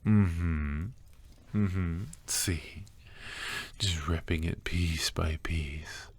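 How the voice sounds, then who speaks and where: Very deep, slow, obsessive man acting a doting captor. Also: fairly even intonation, full character voice. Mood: excited, doting. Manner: soft, halting delivery with breathy whispers and sighs. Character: obsessive, doting, sinister male kidnapper coworker. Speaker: voice actor. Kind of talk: ASMR roleplay acting.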